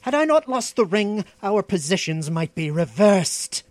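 A man's voice: high voice, sing-song, a little slow, dry recording, pitched above the performer's own voice. A man voicing a proud, bitter, enslaved sorcerer in a fantasy audiobook, growling and grandiloquent. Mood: menacing. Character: bitter, enslaved male Stygian sorcerer, broad-shouldered, proud, menacing. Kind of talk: dramatic fantasy audiobook dialogue.

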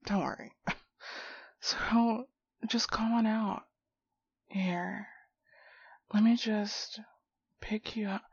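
Woman acting as hot-headed yet loyal young female friend, audio drama, profane slang, husky breathy voice, slightly muffled home recording. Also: mid-range voice, dry recording. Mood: casual, reassuring. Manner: slangy, profane, talking directly to a buddy. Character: foul-mouthed, hot-tempered but protective young friend, finding shrunken buddy. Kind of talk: immersive one-sided audio roleplay drama.

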